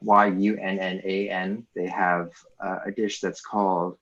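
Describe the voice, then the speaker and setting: Low-voiced Canadian man, muffled audio. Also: level tone, a little slow, fairly bright tone. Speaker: interview guest, teacher community lead. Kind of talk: casual live interview answers. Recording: dull, lo-fi call audio.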